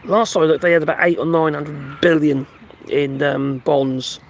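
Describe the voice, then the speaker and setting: A man hosting a casual live stream, reading news aloud and commenting in a rambling, halting way, his voice going hoarse. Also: on the high side, fairly even intonation, a little hiss or hum. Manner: rambling, halting, many fillers and restarts; talks to his pets between remarks. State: losing his voice. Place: at home with animals nearby.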